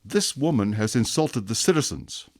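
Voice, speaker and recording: A stern, unbending police inspector, played by a man, speaking with cold formality in a radio play. His voice sits low and swings widely in pitch as he presses his case. The sound is dry and close. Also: expressive, normal speed, darkish tone, dry recording, natural voice. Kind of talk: radio drama acting.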